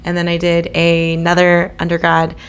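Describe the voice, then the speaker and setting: A young woman from Ontario answering interview questions, listing her degrees in an even, measured way. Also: fairly low pitch, clear, resonant tone. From Ontario, Canada.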